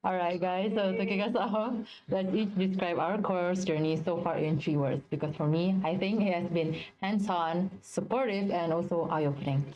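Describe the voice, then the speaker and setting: A young student from Indonesia speaks as a panelist, easygoing and clear. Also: male voice, high-pitched, steady tone, moderate pace, few pauses, darkish tone, muffled recording. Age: young adult. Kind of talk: casual webinar discussion. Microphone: video call.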